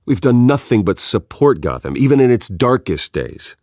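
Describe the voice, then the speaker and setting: An actor playing a brooding, driven billionaire vigilante in a video game, intense and measured with forceful swells. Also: male voice, medium pitch, sing-song, no room sound, clean studio sound. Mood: defensive, stern. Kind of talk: dramatic video game voice acting.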